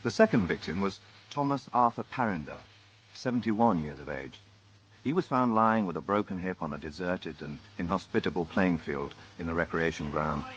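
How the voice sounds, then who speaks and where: A man acting as the narrator of a radio murder mystery, measured and dryly ironic. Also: sits low, lively intonation, highs cut off, a little hiss or hum. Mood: dry, grave. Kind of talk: scripted radio drama narration.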